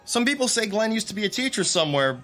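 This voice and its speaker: A man's voice: high-pitched, big pitch swings, quick pace, a bit bright, faint music behind. A man playing a joking, friendly theater crew member who tells funny stories about coworkers. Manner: teasing storyteller. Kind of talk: radio drama acting.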